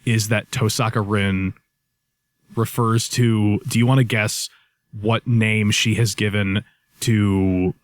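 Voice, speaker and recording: A man co-hosting a let's play with casual, rambling, profane commentary, often halting as he searches for words. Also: lowish voice, level tone, no echo.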